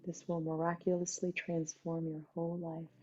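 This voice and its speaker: A woman leading a guided meditation as its teacher, talking in an unhurried way with pauses between short phrases. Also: lowish voice, monotone, dark tone. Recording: dull lo-fi sound, music behind. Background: music.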